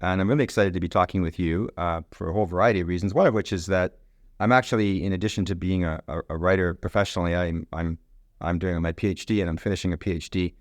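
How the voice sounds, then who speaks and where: A man's voice: sits low, fast talker, no room sound, studio quality. A middle-aged man hosting a podcast, chatty and rambling, often restarting his sentences. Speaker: podcast host. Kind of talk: casual interview conversation.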